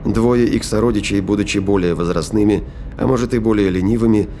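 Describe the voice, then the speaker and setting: A man's voice: lowish voice, brisk, dark tone, slight background noise. A man reading scripted science fiction narration as the narrator of an audio drama.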